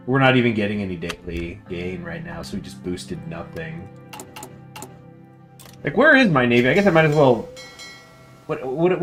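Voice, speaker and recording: A man casually commenting on his strategy game as he plays, thinking out loud and reading the in-game text aloud. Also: mid-range voice. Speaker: gameplay commentator. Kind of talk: casual let's-play commentary. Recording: faint music underneath. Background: faint game music.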